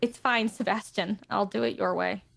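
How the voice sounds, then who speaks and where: An actress playing a blunt actor who voices a brisk houseguest in a period play. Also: female voice, mid-range voice, fairly even intonation, creaky, a bit bright. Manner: blunt, pointed. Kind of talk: audio drama acting.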